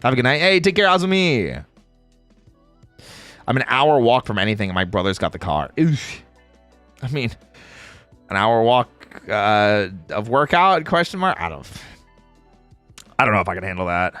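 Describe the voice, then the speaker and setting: A playful man streams to his viewers with a lively, swooping pitch and a medium-register voice. He talks casually and slangily, often repeating phrases for emphasis. The sound is close and dry, with faint music behind him. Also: moderate pace, pauses often, no room sound. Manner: playful, reads chat aloud and replies. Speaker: livestreamer. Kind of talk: casual livestream chat with viewers.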